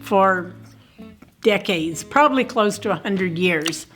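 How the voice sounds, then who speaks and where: A measured American woman telling local history as a museum director, speaking very slowly at a mid pitch. Faint music sits under her voice. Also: lively intonation, fairly bright tone. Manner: measured, unhurried storyteller. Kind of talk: storytelling in an interview.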